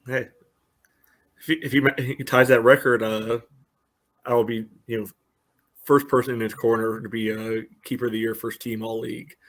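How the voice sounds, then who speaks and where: A man's voice: medium pitch, flat delivery, moderate pace, long pauses, fairly bright tone. A man co-hosting a sports podcast, giving casual analysis in a loose way peppered with filler phrases. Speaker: podcast co-host.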